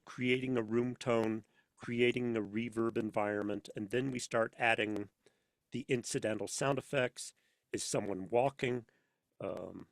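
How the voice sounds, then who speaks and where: A man chatting casually as an interview guest, telling anecdotes in an animated way and acting out bits of dialogue. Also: on the low side, no echo, studio quality. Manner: animated storyteller, acts out imagined dialogue. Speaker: podcast guest, sound designer for audio dramas. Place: inside a studio.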